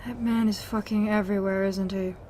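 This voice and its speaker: A woman's voice: medium pitch, fairly even intonation, a little fast, mellow, close to an everyday voice. A woman playing a sardonic, distrustful survivor in an audio drama, with a dismissive way of talking. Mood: scornful. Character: gruff, sardonic survivor who distrusts strangers. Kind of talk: amateur audio drama acting. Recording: faint background noise.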